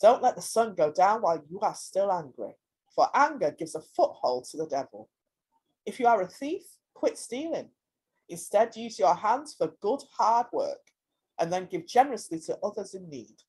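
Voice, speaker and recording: A woman reads scripture aloud in a husky, slightly rough voice. Her pitch moves widely from phrase to phrase, making the reading lively and expressive. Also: moderate pace, poor audio. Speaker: scripture reader.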